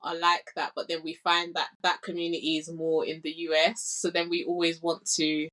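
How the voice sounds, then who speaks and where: A woman's voice: low voice, completely flat delivery, moderate pace, fairly bright tone. London woman, earnest interview guest. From England. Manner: thoughtful, earnest, with frequent "like" and "you know". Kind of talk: casual conversational interview.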